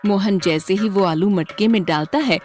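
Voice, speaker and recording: A woman's voice: mid-range voice, moderate pace, a little mellow. Female story narrator over faint music. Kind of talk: scripted children's story narration.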